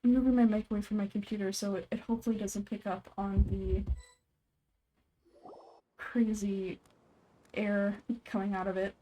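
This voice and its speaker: A woman's voice: mid-range voice, flat delivery, moderate pace, pauses often, mellow, rough recording. A woman giving casual, self-amused gameplay commentary in muttered asides. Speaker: gameplay host voicing all the characters. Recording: game music behind, computer fan noise.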